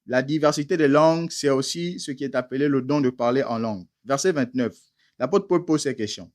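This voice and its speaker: Male preacher, average pitch, emphatic teaching sermon with rhetorical questions. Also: brisk. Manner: addresses listeners as beloved brothers.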